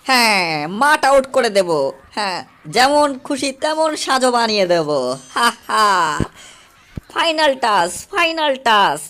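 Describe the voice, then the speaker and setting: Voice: high voice, expressive, measured pace, sharp tone, heavily acted. A performer voicing a gleeful, mischievous cockroach in a comic cartoon dub, taunting and gloating over music. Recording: music under the voice.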